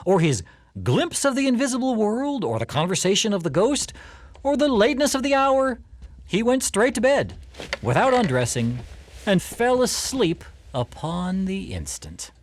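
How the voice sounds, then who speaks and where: A man narrating a classic story for an audio drama in a deliberate, expressive, theatrical way. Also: high-pitched, no echo.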